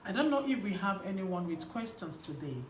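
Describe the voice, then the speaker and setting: A woman's voice: mid-range voice, very animated, fairly quick. A woman with a Nigerian accent giving a live online coaching talk as host, speaking emphatically and repeating key phrases. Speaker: host and women's health coach. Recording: roomy echo with faint background noise.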